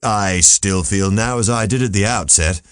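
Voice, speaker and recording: An actor playing a stubbornly optimistic, learned philosopher in an audiobook story, pedantic and self-satisfied. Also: male voice, on the low side, normal speed, clean tone, dry recording, clean studio sound, lightly acted. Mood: complacent, pedantic.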